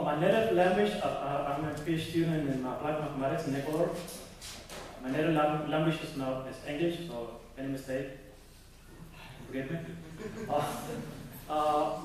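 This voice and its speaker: A young man presents research at a conference, pausing and restarting as he searches for words. His voice sits a little high for a man. He is recorded from a distance in a very echoey hall, with a faint hiss under it. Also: darkish tone. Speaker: conference speaker. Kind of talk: academic presentation.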